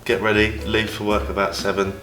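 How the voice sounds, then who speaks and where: A man's voice: fairly low pitch, flat delivery, brisk, a bit bright. A man in his twenties with an English accent, an apprentice describing his work in a plain, matter-of-fact way. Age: 20s. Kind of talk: casual interview answers. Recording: roomy with background music.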